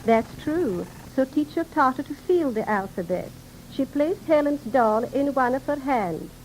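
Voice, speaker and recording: A woman with a high voice speaks as a guest on a 1930s radio interview. Her delivery is formal, articulate and explanatory. Faint noise sits over the old broadcast recording. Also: expressive, a little slow, rough recording.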